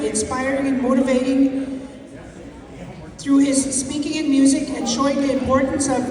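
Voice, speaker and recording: A woman emceeing an event, reading out a speaker introduction with the odd stumble. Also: high-pitched. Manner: steady, occasionally stumbling read. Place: outdoor community event stage. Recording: echoey PA from far off with crowd.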